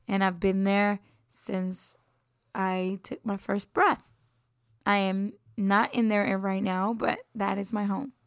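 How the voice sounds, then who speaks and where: Young woman, from Puerto Rico, upbeat casual chat, laughs often, smooth clear voice, dry close sound. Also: mid-range voice, flat delivery, slow, dry recording. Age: 20s. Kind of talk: casual self-introduction.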